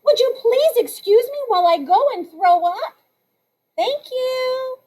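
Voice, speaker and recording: A woman reading aloud, voicing a cheeky wisecracking schoolgirl with a sarcastic, lively way of talking. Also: very high voice, a little slow, pitched above the performer's own voice.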